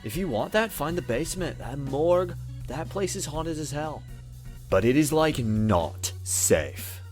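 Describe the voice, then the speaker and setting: A man's voice: a little high, expressive, measured pace, darkish tone, faint music behind. A man voicing a friendly young slacker in a visual novel game, with a casual, dopey surfer-dude drawl. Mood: relaxed, casual. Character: laid-back, friendly young male slacker party host.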